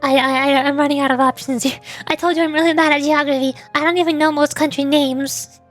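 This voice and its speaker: High-voiced woman streamer thinking aloud. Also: steady tone, fast talker. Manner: hesitant, thinking aloud, stammers and repeats words. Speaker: streamer, VTuber. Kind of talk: casual livestream chat with viewers. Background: background music.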